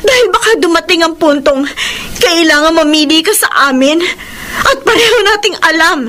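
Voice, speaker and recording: Impassioned mistress, very high bright voice, melodramatic, emphatic, radio drama, faint noise. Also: female voice, expressive, moderate pace, full character voice. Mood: anguished. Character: impassioned mistress pleading and defending her love for a married man. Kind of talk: Filipino radio drama acting.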